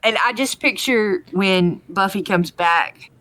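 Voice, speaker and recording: A woman's voice: mid-range voice, slow pace, a bit bright, dry recording. A woman co-hosting a podcast, chatting casually with dry amusement as she recaps a TV episode. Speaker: podcast co-host.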